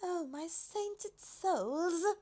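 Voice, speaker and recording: A woman voicing a polite, easily overawed and excitable female giraffe in a storybook, with a gushing manner. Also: very high-pitched, extremely slow, voice pushed up, exaggerated voice. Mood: overjoyed, astonished. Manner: gushing, exclaiming.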